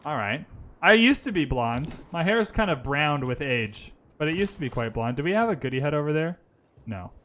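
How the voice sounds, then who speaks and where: A man with a bright, forceful voice pitched a little high talks through a strategy game in a live stream. He thinks his moves aloud in a loose, chatty way and breaks off to answer viewers. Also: big pitch swings, brisk. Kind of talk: casual gaming commentary. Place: lives in Vancouver.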